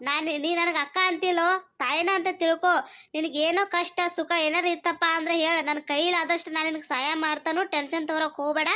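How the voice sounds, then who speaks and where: A woman playing a kindly village woman who coaxes a pregnant friend in a one-person comic skit, speaking. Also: very high-pitched. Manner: gentle, coaxing, motherly.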